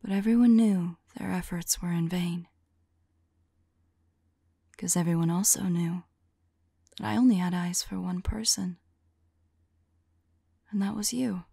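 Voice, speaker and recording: ASMR roleplay: ancient female vampire played by a woman, tender and sorrowful, mellow whispery voice with some creak, intimate and hesitant. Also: on the low side, a little slow, pauses often, no echo, full character voice. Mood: wistful, tender. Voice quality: soft, breathy, whispery. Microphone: close microphone. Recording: close, dry microphone.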